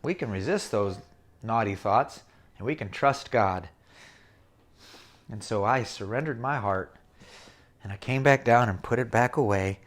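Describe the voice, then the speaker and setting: A man's voice: on the low side, unhurried, pauses often, voice pushed down. A man voicing an earnest little girl who eagerly explains herself to her mother.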